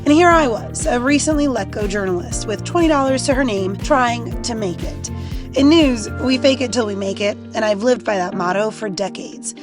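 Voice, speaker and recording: A woman's voice: on the high side, moderate pace. A woman originally from Poland, a podcast host, narrating a scripted intro in an earnest, reflective tone. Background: music.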